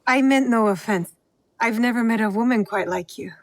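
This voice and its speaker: A woman's voice: a little high, moderate pace, in a large hall, lightly acted. A woman playing a guarded, determined young female shinobi bent on revenge in a video game, speaking in a serious, terse way. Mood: guarded, polite. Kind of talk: video game cutscene acting.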